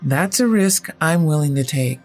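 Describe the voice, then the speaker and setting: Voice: mid-range voice, expressive, moderate pace, faint music behind, natural voice. A storyteller as a grave, determined male inventor. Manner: formal, grave, explanatory.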